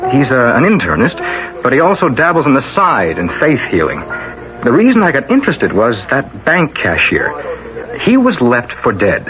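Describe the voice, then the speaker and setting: An actor playing a wry, cynical, down-on-his-luck newsman, talking in a halting, sardonic way in 1970s radio drama. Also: medium pitch, wildly swooping pitch. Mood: intent, suspicious. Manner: conversational, hesitant, sardonic. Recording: slightly muffled radio, music behind.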